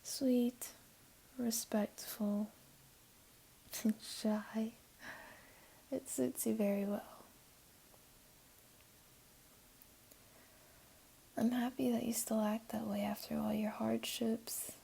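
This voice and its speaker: Casting: woman to play teasing, doting jaguar girl for ASMR roleplay; soft, mellow, whispery, slightly high voice. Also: little pitch movement, takes it slowly, long pauses, exaggerated voice, low-quality recording. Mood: gentle, admiring. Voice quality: soft, breathy, often whispery. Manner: teasing, cooing, soothing. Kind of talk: ASMR roleplay, intimate one-to-one.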